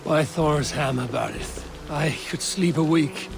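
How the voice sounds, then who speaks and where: An actor playing a loyal, resolute Viking warrior in a video game, speaking in solemn, formal archaic phrases. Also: male voice, on the high side, measured pace, creaky, noisy background. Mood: wistful. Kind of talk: cinematic video game acting.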